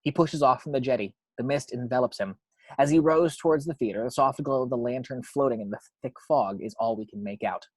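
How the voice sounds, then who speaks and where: A man reading screenplay action description as a narrator, with measured, suspenseful storytelling. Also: medium pitch, darkish tone, husky, no room sound, poor audio.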